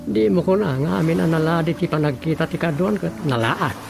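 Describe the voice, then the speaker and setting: A man's voice: fairly high pitch, brisk, creaky. A man playing an easygoing, advice-giving fellow in an Ilocano radio drama comedy, with an earnest manner and an Ilocano accent. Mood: earnest, advising. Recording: music bed under the voice.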